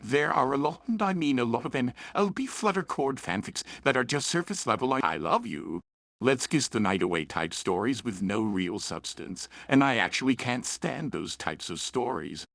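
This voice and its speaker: Animated man reviewer with a gravelly edge. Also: mid-range voice, normal speed, no echo, studio quality. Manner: chatty, self-aware, opinionated. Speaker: host and reviewer of fan fiction and audio dramas. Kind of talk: scripted review narration with playful asides.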